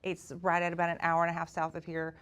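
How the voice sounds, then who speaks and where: Woman, lowish voice, chatty. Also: completely flat delivery, quick pace, noisy background. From South Georgia, US. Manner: chatty, storytelling, self-deprecating. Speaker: chamber of commerce president, guest. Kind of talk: casual podcast conversation.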